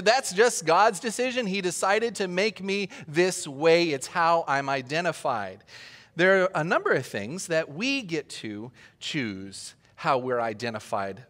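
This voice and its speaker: A pastor preaches in a loose, joking way, often turning questions back to the congregation. His voice sits high for a man and swings up and down with a lot of life. The sound rings with the long reverb of a large church hall. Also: fairly bright tone. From Austria. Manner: chatty and joking, asks the audience questions. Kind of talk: conversational sermon.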